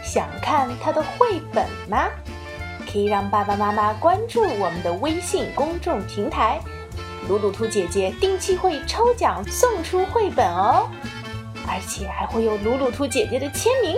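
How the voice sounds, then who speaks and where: A woman's voice: fairly high pitch, sing-song, moderate pace. A woman hosting a children's channel, addressing young listeners in a scripted promotional sign-off. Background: music.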